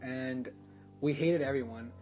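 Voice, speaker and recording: A man's voice: mid-range voice, a little slow, darkish tone, faint music behind. A young man guesting on a podcast, telling a story in casual chat, rambling, restarting and swearing, with laughs mixed in.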